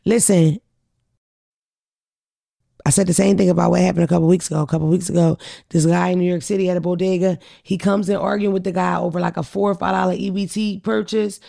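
A young woman with a deep voice, telling blunt, profane stories as a podcast co-host in casual chat. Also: fairly even intonation, fast, vocal fry, dark tone.

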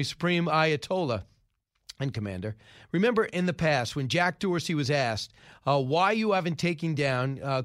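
A man hosting a radio talk show, rattling through the news with wry asides and quick opinions. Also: high-pitched, fairly bright tone, no room sound, studio quality. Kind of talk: casual news-commentary monologue. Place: radio studio.

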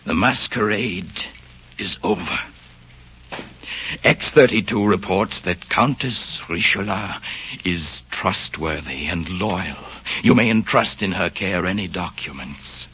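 An actor playing a suave spy, a cool and sardonic romantic, in a theatrical 1940s radio drama style. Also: male voice, deep voice, lively intonation, slow, bright, forceful tone, heavily acted. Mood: weak, solemn. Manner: theatrical, sardonic, smooth-talking. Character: suave male spy, cool romantic, devoted to his country. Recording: dull 1940s radio recording.

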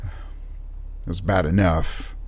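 An actor playing a fussy, awkward but kind scientist in an audio drama, with a husky, halting, precise delivery. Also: male voice, sits low, flat delivery, darkish tone, full character voice. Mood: awkward, guarded. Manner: halting, hesitant, mild-mannered and precise. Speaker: scientist and doctor.

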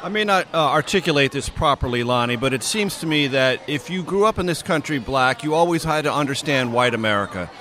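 A man hosting an interview over lunch, asking measured, thoughtful questions. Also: medium pitch, animated, pushed and bright. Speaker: interviewer and host.

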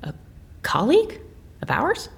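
An actress playing a flustered, unsure agent questioning a prisoner in an audio drama, hesitant and stammering. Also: female voice, sits high, huge pitch swings, a little slow, creaky, heavily acted. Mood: confused, hesitant.

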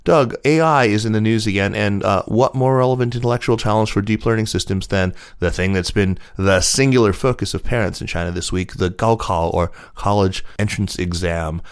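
A man's voice: fairly low pitch, brisk, few pauses, clear, resonant tone. A man hosting a news podcast, reading business stories and introducing guests in a presenter's style. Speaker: podcast host and news reader.

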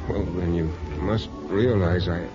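An American actor playing an earnest, troubled, middle-aged divorced father in 1950s radio drama, speaking tenderly and haltingly. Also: low voice, fairly even intonation. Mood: apologetic, hesitant. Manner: hesitant, halting. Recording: dull 1950s radio recording with music.

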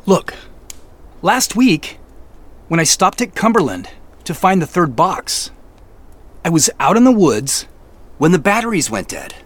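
An actor playing an excitable, uneasy but earnest camper in a naturalistic audio drama, speaking in halting, emphatic phrases. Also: male voice, high voice, exaggerated voice. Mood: urgent, earnest. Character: excitable, uneasy man on a camping trip, earnest.

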